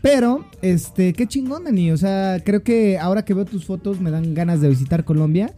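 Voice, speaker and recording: A young man with a thick Mexico City accent hosts a relaxed podcast, a bit tipsy and full of slang and jokes. His voice is fairly high for a man, with a very dark, mellow tone. Soft music plays faintly behind him. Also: moderate pace. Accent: Mexico City Spanish. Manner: slangy, joking, loose. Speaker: podcast host. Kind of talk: casual podcast chat.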